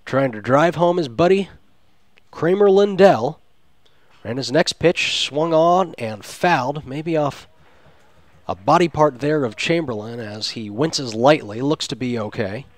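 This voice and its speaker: A male play-by-play announcer delivers live baseball commentary for radio. His voice sits at an ordinary male pitch in a dry sound with very little room. He describes the game in ornate, detailed phrases, in the manner of a sports broadcaster. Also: measured pace, no room sound. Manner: descriptive, flowery turns of phrase.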